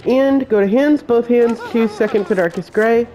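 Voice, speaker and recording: A man's voice: very high voice, warm tone, lo-fi sound, faint music behind. A man with a cold and a congested voice, hosting a step-by-step game build tutorial as a voice-over. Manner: slow, plain instruction-giving voice-over.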